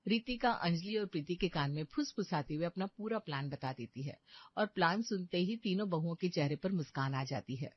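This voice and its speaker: A woman's voice: fairly low pitch, expressive, a little fast, dull audio. A woman reading scripted narration for a story.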